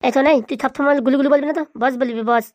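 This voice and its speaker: A woman's voice: high voice, fairly even intonation, racing, darkish tone, no echo, lo-fi sound, lightly acted. A woman voicing a bossy little cartoon boy who leads a gang and bullies others with a childish lisp. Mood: cocky. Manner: bossy, threatening, scolding. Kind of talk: comic cartoon dialogue.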